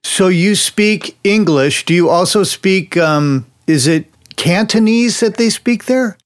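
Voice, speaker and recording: A man's voice: sits high, slow. Upbeat male interview host. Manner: enthusiastic, friendly, frequent laughs.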